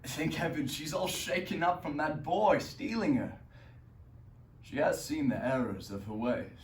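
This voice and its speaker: A man playing a brooding, vengeful barber who is sly and menacing, moving from hushed threats to sudden shouts. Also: fairly high pitch, unhurried, natural voice. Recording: echoey room, distant mic, faint noise.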